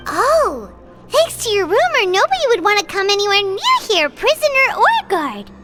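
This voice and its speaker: A woman voicing a tiny, excitable, chatty fairy companion with a squeaky childlike voice in a video game. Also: moderate pace, heavily acted. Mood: inquisitive. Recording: game music behind the voice.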